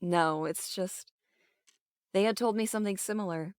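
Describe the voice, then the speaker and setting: A woman's voice: medium pitch, moderate pace, smooth, dry recording, natural voice. A woman playing a guarded, conflicted police captain, speaking informally and earnestly in an audio drama. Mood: conflicted, uneasy.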